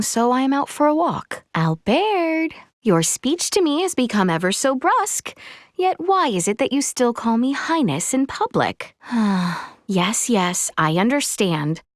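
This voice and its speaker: A woman's voice: a little high, very animated, measured pace, no room sound, studio quality. A woman playing an earnest, refined young princess in a video game, speaking with formal, archaic courtly politeness. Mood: earnest, weary. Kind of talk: video game voice acting, scripted dialogue.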